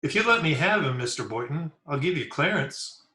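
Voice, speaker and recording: A man's voice: a little high, expressive, moderate pace, sharp tone. Guy playing goofy simple schoolboy, gee-whiz friendly, old radio comedy, roomy echo. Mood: eager, friendly. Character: eager, dim, good-natured teenage schoolboy. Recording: echoey room over remote call.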